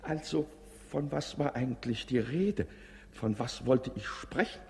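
A man's voice: medium pitch, a little slow, creaky, mellow. An actor playing a muddled, pompous, anxious king in a 1950s German radio play. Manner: pompous, confused, forgetful. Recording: 1950s radio recording, long hall reverb.

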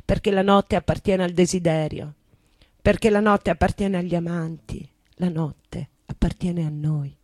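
A woman performing a dramatic poetic monologue as a passionate, defiant American rock poet, tender and intense, with deliberate repetition. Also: lowish voice, steady tone, a little mellow, no echo. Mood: tender, yearning. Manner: slow, deliberate, intense delivery with repeated phrases. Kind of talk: dramatic monologue and poetry reading over guitar. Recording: live guitar music behind the voice. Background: guitar playing.

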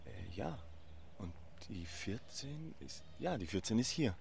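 A man's voice: on the low side, big pitch swings, gravelly, slight background noise, full character voice. An actor playing a hesitant, polite, apologetic man in a naturalistic radio drama. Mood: contrite, hesitant. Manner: hesitant, halting.

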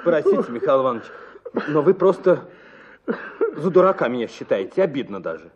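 An actor playing a polite, reluctant young army volunteer in a radio story, hesitant and easily offended. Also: male voice, fairly high pitch, big pitch swings, a little fast, breathy edge, dull audio. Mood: offended protest. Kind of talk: dramatized radio short story.

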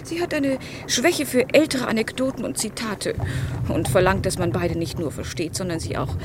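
An actress playing a witty, sharp-tongued lady-in-waiting, haughty, ironic and articulate, in a German period radio play. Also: mid-range voice, moderate pace, very noisy, natural voice. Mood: mocking, amused. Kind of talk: German radio drama acting, period piece.

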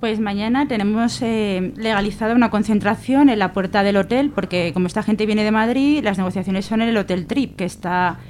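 A woman's voice: medium pitch, a little fast, hardly any pauses, darkish tone, slight background noise. A woman with an accent from Spain, an activist guest explaining things informally in a radio interview. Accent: Spanish from Spain.

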